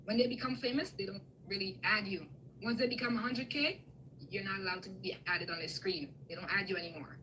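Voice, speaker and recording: A woman's voice: mid-range voice, fairly even intonation, brisk, bright, forceful tone, slight background noise, rough recording. A woman from Guyana giving a fervent, emotional spiritual testimony on a live call, repeating herself as she preaches. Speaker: guest speaker on a live video call.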